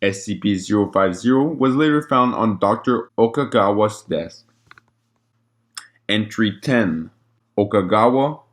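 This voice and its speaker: A man's voice: fairly low pitch, a bit bright. A man narrating a scripted document log in a formal, report-like way, with an occasional stumble over the words.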